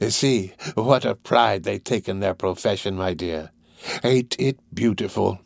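A man voicing a wily, quick-tempered old gang master in a storybook reading, with a drawn-out, coaxing delivery. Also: on the low side, creaky, no room sound. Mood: gleeful chuckling. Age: older.

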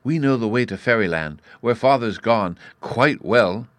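A man voicing a gentle, earnest young girl in a storybook, a protective big sister with a pleading manner. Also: medium pitch, expressive, unhurried, no echo, voice pushed up, close to an everyday voice.

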